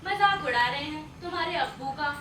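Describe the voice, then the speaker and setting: Anxious, nagging wife played by a woman, very high voice, distant and noisy stage play. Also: expressive, fairly quick, sharp tone, roomy sound. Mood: disapproving. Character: anxious, disapproving wife and mother. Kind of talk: Hindi stage play acting.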